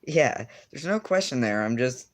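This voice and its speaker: A teenage boy chatting on a livestream, talking to viewers in a loose, rambling way. He keeps restarting his sentences and stuffing them with fillers. His voice sits at an ordinary pitch for a young man. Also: animated, normal speed. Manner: rambling, filler-heavy, self-correcting. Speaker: streamer. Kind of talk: casual livestream chat reading comments.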